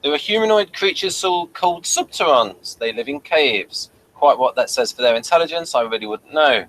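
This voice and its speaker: A man with a bright, forward voice hosts a casual review. He reads out notes and comments on them with dry, wry humour. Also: a little high, animated, moderate pace, slight background noise, rough recording. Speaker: review show host. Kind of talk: casual review chat, partly reading aloud.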